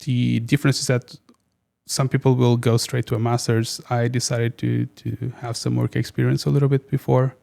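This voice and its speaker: A man's voice: mid-range voice, flat delivery, moderate pace, gravelly, mellow. A man in his thirties from Portugal, a guest speaking casually and hesitantly with many fillers and restarts. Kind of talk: casual interview chat.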